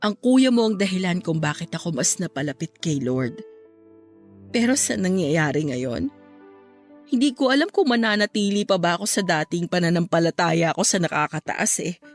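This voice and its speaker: A woman's voice: fairly low pitch, lively intonation, moderate pace. A young woman playing a bereaved, heartbroken woman in a Filipino radio drama, speaking in a plaintive, emotional way. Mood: anguished, bitter. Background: music.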